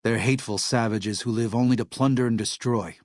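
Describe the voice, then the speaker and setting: An actor playing a gentle, devout male missionary in a video game, speaking in an earnest, soft-spoken, hesitant way with worry for his flock. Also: medium pitch, fairly even intonation, a little fast, dry recording, studio quality, close to an everyday voice. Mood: grim disdain. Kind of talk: video game character dialogue.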